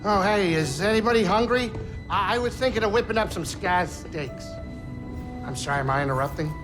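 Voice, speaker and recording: A man playing a grumbling but soft-hearted older alien starship captain in a video game, with a folksy, fussy delivery. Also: sits high, expressive, a little fast. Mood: chatty. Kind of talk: cinematic video game acting. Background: music.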